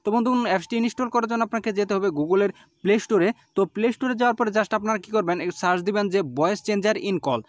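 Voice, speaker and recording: A man's voice: high voice, fast, no echo, clean studio sound. A man narrating an app tutorial as host, explaining step by step in an instructional, repetitive way.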